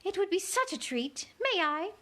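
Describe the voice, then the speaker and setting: A woman voicing a gentle, dotty queen with a soft cooing voice, reading a storybook aloud. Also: very high voice, big pitch swings, normal speed, pitched above the performer's own voice, heavily acted.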